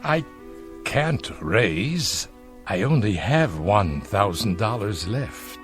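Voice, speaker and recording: A sick, elderly gentleman in an old TV drama, speaking slowly and haltingly with wide swings of feeling. He is formal, polite and desperate, with faint music beneath his voice. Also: on the low side, lively intonation. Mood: weak, faltering. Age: older. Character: frail, ailing old man, polite, desperate and ashamed. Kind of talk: vintage television drama acting. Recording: old TV soundtrack with music underneath.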